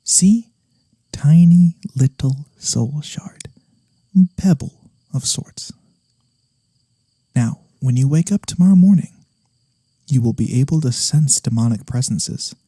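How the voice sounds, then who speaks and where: Man acting a mellow, breathy, gentle incubus. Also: mid-range voice, lively intonation, takes it slowly, pauses often, no room sound. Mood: softly instructive. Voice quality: soft, breathy. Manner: polite, hesitant, softly spoken, sometimes whispering. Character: gentle, courteous, wholesome male incubus demon. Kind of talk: soft-spoken ASMR fantasy roleplay.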